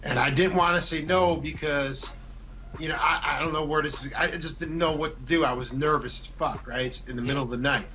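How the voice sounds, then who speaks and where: A man, a radio personality, telling animated, profane stories in a casual chat. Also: fairly high pitch, brisk, rough recording.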